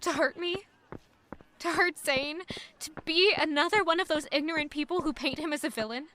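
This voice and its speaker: An actress playing a young maid who is defensive and in love, thinking aloud with strong emotion in an audio drama. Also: female voice, high voice, normal speed, bright, forceful tone, a little hiss or hum. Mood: indignant.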